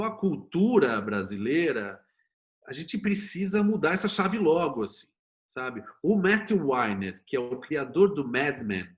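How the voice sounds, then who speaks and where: Brazilian man talking informally. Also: on the high side. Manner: informal, colloquial, opinionated. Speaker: interview guest, series producer and screenwriter. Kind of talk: conversational interview talk with anecdotes.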